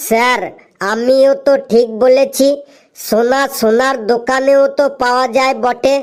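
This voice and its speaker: A woman's voice: sits high, flat delivery, moderate pace, smooth, lightly acted. A woman voicing a cheeky, dim-witted schoolboy in a comedy cartoon with an earnest delivery. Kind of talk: exaggerated comic cartoon dubbing.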